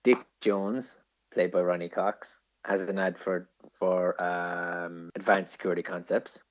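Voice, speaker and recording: A low-voiced Irish man chats about films as a podcast co-host. He explains things with a geek's enthusiasm and swears freely. The audio is a little dull and lo-fi. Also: steady tone, a little slow, a little hiss or hum. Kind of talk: casual film discussion.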